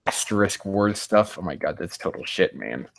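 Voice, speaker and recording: A man hosting a casual livestream, rambling and haltingly muttering to himself, with loose, crude language. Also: deep voice, expressive, creaky, no room sound. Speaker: livestream host.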